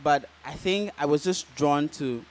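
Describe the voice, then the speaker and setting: A Ghanaian man answering interview questions in a relaxed, casual way, with many "um"s and "like"s. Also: on the high side, a little slow. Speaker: guest fashion designer.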